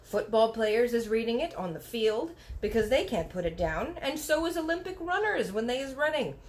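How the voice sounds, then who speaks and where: An excitable little boy from a storybook, acted by a woman. He speaks in childlike broken grammar, exclaiming in a high voice that swings widely. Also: normal speed, slight background noise. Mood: excited. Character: excitable little boy telling fanciful dreams. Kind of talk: storybook read-aloud character voice.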